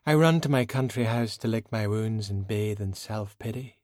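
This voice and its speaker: A male audiobook reader performs a refined, silky woman of great poise. The words come measured and formal, with wry, proud self-pity. The recording is dry, with no room sound. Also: fairly low pitch, a little mellow, no echo, natural voice. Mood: composed, wry. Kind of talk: audiobook character dialogue.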